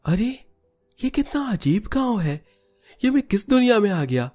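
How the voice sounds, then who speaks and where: Man acting a curious young cartoon lad, high voice, wide-eyed, exclamatory, full of questions, music behind. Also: sing-song, measured pace, darkish tone, heavily acted. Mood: amazed, puzzled. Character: astonished, curious young village visitor boy. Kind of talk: Hindi cartoon story dialogue.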